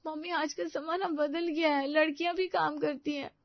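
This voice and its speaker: Woman acting a high-voiced earnest young woman in love. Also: fairly even intonation, normal speed, no echo, lo-fi sound. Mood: earnest, pleading.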